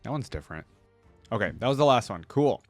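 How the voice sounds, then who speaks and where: A man's voice: medium pitch, expressive, quick pace, no echo, soft background music. A man hosting a reaction video, talking casually and off the cuff about what he hears.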